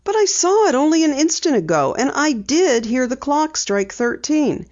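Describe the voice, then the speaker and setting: A woman voicing an eager, earnest teenage girl sleuth in a storybook reading, high and insistent. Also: sing-song, moderate pace, highs cut off. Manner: bright, earnest, high-pitched pleading.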